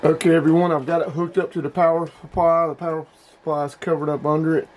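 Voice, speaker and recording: A man's voice: a little high, level tone, fast talker, darkish tone. A middle-aged man with a folksy, plain-spoken manner, hosting a casual hobby review vlog. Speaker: hobbyist video host.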